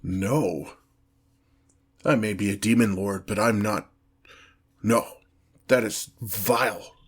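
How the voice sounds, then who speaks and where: A man's voice: fairly low pitch, breathy edge, heavily acted. A man voicing a haughty, exasperated demon lord in a fantasy audio roleplay, speaking formally and pompously. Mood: disgusted. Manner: pompous, formal, slow deliberate phrasing with irritated sighs. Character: haughty, exasperated male demon lord, grandiose but petty. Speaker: character performer in roleplay audio. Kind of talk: scripted ASMR fantasy roleplay, one-sided dialogue.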